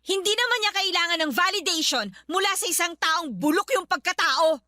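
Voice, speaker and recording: An actress playing a defiant, hurt younger sister, a young adult, in a radio drama. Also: female voice, very high voice, a little fast, sharp tone, dry recording, studio quality. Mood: bitter, defiant. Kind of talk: Tagalog radio drama acting.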